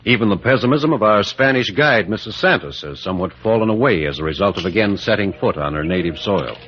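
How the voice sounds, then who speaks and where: Man acting low voiced adventurer captain, dramatic old radio hero, dull hissy recording. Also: lively intonation, lightly acted. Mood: descriptive. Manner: dramatic, deliberate. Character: commanding adventurer captain, hero and narrator of the expedition.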